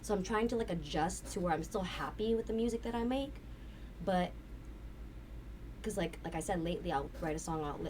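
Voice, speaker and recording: Raspy fast-talking woman guest. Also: on the low side, a little mellow. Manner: rambling, candid, swears casually. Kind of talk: casual interview chat. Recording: distant mic with faint noise.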